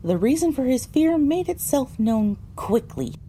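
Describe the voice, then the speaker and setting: A woman's voice: sits high, very animated, measured pace, dark tone, slight background noise. A woman delivering scripted, first-person narration for an audio drama.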